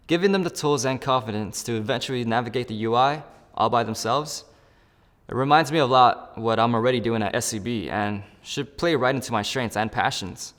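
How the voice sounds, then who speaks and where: A man's voice: medium pitch, fairly bright tone, in a large hall. A man role-playing a job candidate in a phone interview and explaining each step to the viewer in an upbeat way. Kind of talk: scripted role-play with asides to the viewer.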